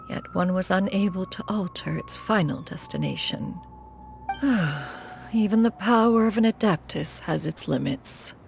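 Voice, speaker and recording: A woman's voice: medium pitch, creaky, slight background noise. A woman voicing an aloof, ancient female crane spirit in a game cutscene, speaking in a formal, lofty manner. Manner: formal, refers to herself as "one".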